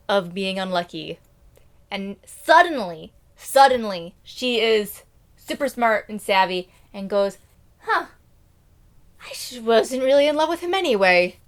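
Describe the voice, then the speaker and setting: A woman retelling a fairy tale casually and comically, with slangy, theatrical, quirky delivery. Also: mid-range voice. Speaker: storyteller.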